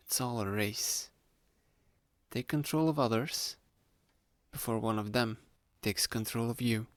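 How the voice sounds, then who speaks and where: A man playing a sly, playful young male student hypnotist in a conversational ASMR roleplay, speaking to the listener in a gravelly, teasing voice. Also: mid-range voice, dry recording, close to an everyday voice. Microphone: close studio-style microphone, dry.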